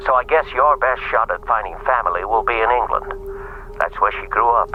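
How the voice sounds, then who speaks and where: An actor playing a reserved, faintly wistful older retired agent, speaking in measured phrases over a phone in a radio drama. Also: male voice, mid-range voice. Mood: matter-of-fact, wistful. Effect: telephone filter. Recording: tinny, noisy phone line.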